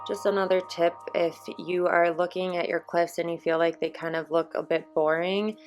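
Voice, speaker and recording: Young female host, slightly hoarse, relaxed chatty voice-over, low-ish pitch. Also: normal speed. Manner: relaxed, chatty explaining with fillers. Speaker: gaming channel host. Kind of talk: casual gameplay voice-over. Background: soft background music.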